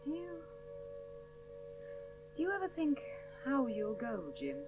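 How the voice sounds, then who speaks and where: A woman's voice: high voice, huge pitch swings, slow pace, over music, exaggerated voice, rough recording. An actress playing a wistful, stubborn woman aviator in a radio play, clipped and dry. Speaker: pilot in radio drama. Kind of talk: 1970s radio drama acting.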